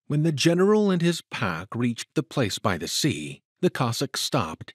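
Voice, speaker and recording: Male narrator, husky, measured pace, dramatic storytelling, suspenseful, widely varied intonation. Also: mid-range voice, no echo, clean studio sound. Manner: suspenseful, deliberate.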